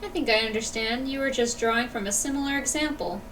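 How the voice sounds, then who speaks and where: High-voiced woman reacting on a game stream. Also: fast, recorded from a distance, background noise. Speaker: live streamer. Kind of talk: casual reactions while playing a game.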